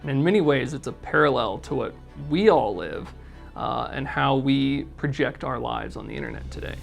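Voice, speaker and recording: A man's voice: mid-range voice, lively intonation, moderate pace, vocal fry, faint music behind. An American man from North Carolina giving interview commentary as an audio drama's creator. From North Carolina, United States.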